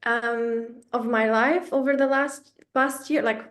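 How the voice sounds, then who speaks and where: A woman's voice: on the high side, steady tone, slow pace, fairly bright tone. A young woman from Romania leading an online workshop, chatty and self-effacing, with many fillers and restarts. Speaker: workshop facilitator.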